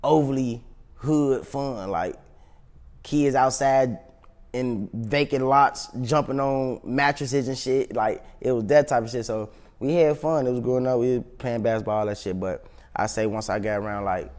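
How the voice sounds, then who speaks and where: Young chicago guy in a casual interview, normal pitch, lots of slang n cussing. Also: normal speed, a little mellow. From South Side of Chicago, United States. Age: young adult. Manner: slangy, profane street talk. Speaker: interview guest, rapper.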